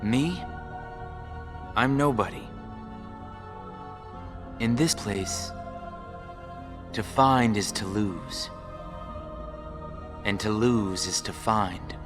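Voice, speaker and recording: A cryptic, ominous figure in a game cutscene. He speaks slowly and solemnly in a lowish voice. Eerie music plays clearly behind him. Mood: cryptic, calm. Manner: slow, riddling, ominous. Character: cryptic, solemn, mysterious man in a dark place. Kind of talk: animated game cutscene acting. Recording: loud background music under voice.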